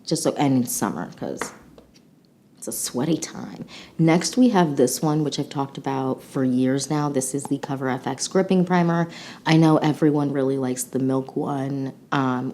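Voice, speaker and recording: Casting: female beauty vlogger, low-pitched and nasal from a mild cold, gushing casual delivery, faint rumble behind. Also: dark tone. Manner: gushing, enthusiastic. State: slightly sick, congested. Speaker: beauty channel host. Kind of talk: casual chatty product review to camera.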